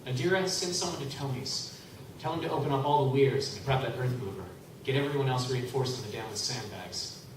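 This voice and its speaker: A man playing a blunt, practical traveling tinker in a live radio play, breaking into loud angry shouts. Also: mid-range voice, brisk, lightly acted. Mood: urgent. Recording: echoey hall from far off, background noise.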